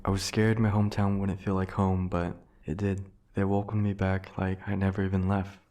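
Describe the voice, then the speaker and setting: A man born in Vietnam and raised in California, narrating a documentary in a calm, earnest voice-over. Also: sits low, normal speed. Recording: voice-over under loud music. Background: loud music.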